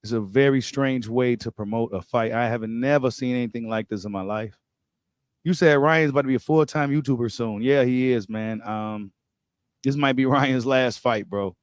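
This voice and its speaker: A man's voice: medium pitch, moderate pace, clean tone, no echo, studio quality. An American man hosting a boxing talk livestream, chatting casually in slangy, informal speech and repeating phrases for emphasis. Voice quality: voice getting slightly worn. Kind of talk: casual livestream chat and commentary.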